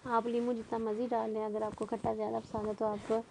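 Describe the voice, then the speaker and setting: A woman's voice: on the high side, completely flat delivery, quick pace, creaky, noisy background. A middle-aged woman, giving a casual, rambling voice-over as a home cooking vlogger. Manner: conversational, rambling.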